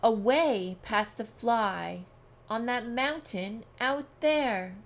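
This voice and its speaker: Woman doing a proud, slow-talking boastful bear. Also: fairly high pitch. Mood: smug boasting. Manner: boastful, emphatic, growling at times. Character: proud, competitive male bear bragging about his nose.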